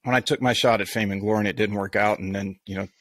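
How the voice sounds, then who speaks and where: A man's voice: on the low side, fairly even intonation, quick pace, a bit bright, dry recording. A man hosting a golf podcast, talking in long rambling sentences with many restarts and asides. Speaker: podcast host. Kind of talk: casual interview chat.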